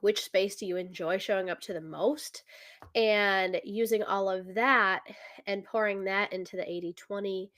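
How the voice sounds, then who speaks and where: A woman's voice: mid-range voice, fairly even intonation, normal speed, a bit bright. Congested woman hosting a podcast. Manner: chatty, many fillers, thinks aloud with math. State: congested, slightly rough voice. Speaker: podcast host. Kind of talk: casual solo business talk.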